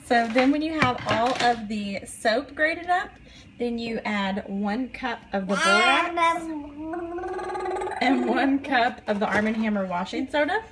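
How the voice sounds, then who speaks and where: A woman's voice: on the high side, expressive, unhurried, heavy background noise. A young woman in her twenties casually demonstrating a recipe in her home kitchen, chatting with many ums while she works. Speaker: vlogger.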